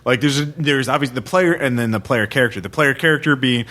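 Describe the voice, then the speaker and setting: A man's voice: mid-range voice, fast, bright, forceful tone. A man chatting informally and with some swearing, as a tabletop gaming podcast host. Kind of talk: casual chat.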